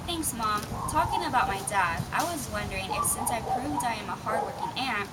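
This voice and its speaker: High-voiced eager young ant girl played by a woman. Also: lively intonation, brisk, loud music behind the voice, natural voice. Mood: eager, pleading. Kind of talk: readers theatre reading. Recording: very noisy, distant microphone.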